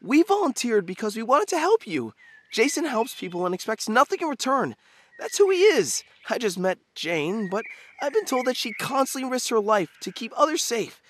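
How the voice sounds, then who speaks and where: Woman doing sweet earnest cartoon helper, high voice, pleading kinda talk. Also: sing-song, normal speed, slight background noise. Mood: earnest, defensive. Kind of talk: animated comedy voice acting.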